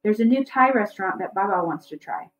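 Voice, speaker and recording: A woman's voice: mid-range voice, lively intonation, fairly quick, lightly acted, poor audio. A woman voicing a caring, probing mother in a storybook reading. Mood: brisk. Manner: questioning, concerned.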